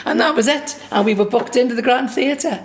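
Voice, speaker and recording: Welsh woman telling a lively story. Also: fairly high pitch, brisk. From Swansea, Wales. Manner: lively anecdotal, acts out quoted dialogue. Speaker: singer and songwriter. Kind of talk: personal storytelling.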